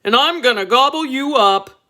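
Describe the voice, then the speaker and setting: A menacing storybook dragon with several squabbling heads, all acted by a woman. It taunts emphatically in a raised, swooping pitch, made for a children's read-aloud. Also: lively intonation, moderate pace, pushed and bright. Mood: taunting, bickering. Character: bickering, menacing three-headed storybook dragon.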